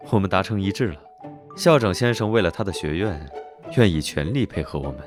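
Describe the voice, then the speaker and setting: An actor playing a suave, teasing young gentleman investigator in an animated drama, smooth-talking and nonchalant. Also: low voice, brisk, over music. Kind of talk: Chinese animated drama dubbing.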